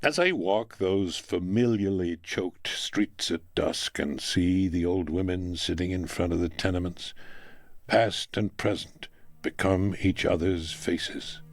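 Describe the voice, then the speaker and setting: A man's voice: low voice, slow, dry recording. A man reading a literary memoir aloud as scripted narration. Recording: music under the voice.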